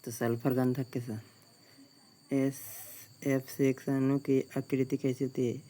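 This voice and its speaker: A man, a Bihari Hindi speaker, reading out exam questions in a slow, flat way, repeating each question before giving the answer. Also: mid-range voice. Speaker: exam tutor.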